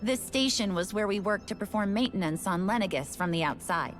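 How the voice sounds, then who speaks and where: A woman's voice: mid-range voice, expressive, brisk, lightly acted. A woman playing an offhand station worker. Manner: casual, offhand, matter-of-fact. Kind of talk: video game voice acting. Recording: game audio with faint music.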